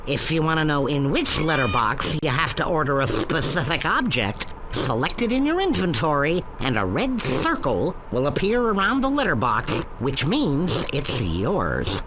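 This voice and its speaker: A man voicing an excitable, sarcastic cartoon duck host giving brash, showy tutorials in a video game. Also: a little high, moderate pace, few pauses. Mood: brash, instructive. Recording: clearly noisy game audio capture.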